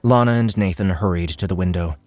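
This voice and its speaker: A male audiobook narrator with a deep, low-pitched voice. He delivers scripted fiction as dramatic narration. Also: a little fast, darkish tone, faint music behind.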